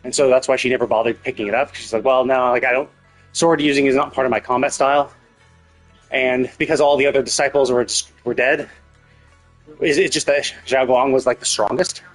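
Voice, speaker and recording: A man's voice: mid-range voice, level tone, fairly quick, soft background music. A man livestreaming casual commentary on a game's story, thinking aloud in a rambling, opinionated way. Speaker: live streamer.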